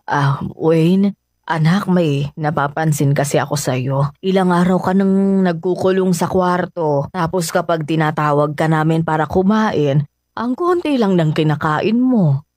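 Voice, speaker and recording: A man's voice: on the high side, measured pace, a little mellow, dry recording, lightly acted. A man voicing a worried, nagging middle-aged mother in a radio drama, fussing over her grown son. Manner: fussy, scolding, motherly. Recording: dry studio with music bed.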